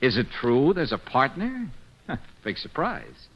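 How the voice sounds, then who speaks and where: A man's voice: mid-range voice, wildly swooping pitch, slow pace, slightly rough, exaggerated voice. An actor playing a tough, sardonic, wisecracking male private eye in an old radio detective drama. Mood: sardonic, accusing. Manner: wisecracking, hard-boiled, accusing. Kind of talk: 1940s-50s radio detective drama acting. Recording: dull vintage radio recording.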